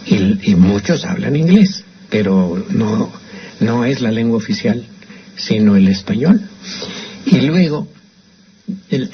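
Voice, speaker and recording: A man's voice: mid-range voice, slight background noise. Older mexican guy rambling on the radio, opinionated, kinda muffled sound. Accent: Mexican Spanish. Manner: chatty, opinionated, with frequent restarts. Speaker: radio host and storyteller. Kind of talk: casual radio commentary. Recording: slightly muffled radio broadcast.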